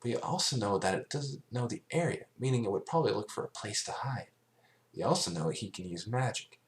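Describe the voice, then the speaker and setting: Man voicing a calm, brainy young female pony, raised pitch. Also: a little fast, close to an everyday voice. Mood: reasoning.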